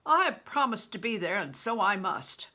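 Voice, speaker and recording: A woman voicing a big, gruff, commanding man, a traveling performer in a storybook reading, who speaks in blunt orders and oaths. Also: mid-range voice, big pitch swings, normal speed, bright, forceful tone. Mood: solemn, evasive. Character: big, gruff, commanding middle-aged male traveling mummer.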